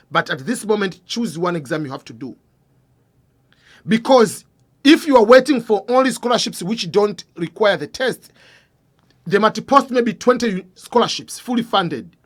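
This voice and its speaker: Earnest man explaining with a high voice. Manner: earnest, repeats and rephrases points, asks the viewer questions; voice drops low and quiet at ends of phrases. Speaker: scholarship advice channel host. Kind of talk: informal explanatory talk to camera.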